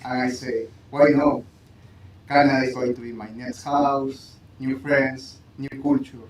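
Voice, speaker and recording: A man from Peru, a student ambassador answering panel questions in halting English that he often corrects. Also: medium pitch, fairly even intonation. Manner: halting, self-correcting non-native English. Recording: echoey livestream audio.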